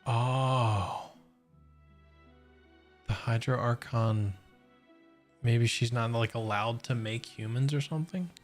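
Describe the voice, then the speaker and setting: A man's voice: mid-range voice, slow pace, pauses often, faint music behind. A man livestreaming a game, commenting casually and reading on-screen text aloud.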